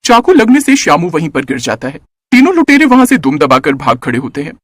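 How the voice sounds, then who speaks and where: A man narrating a children's story in an animated, scripted storytelling style. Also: on the high side, fairly quick, dry recording.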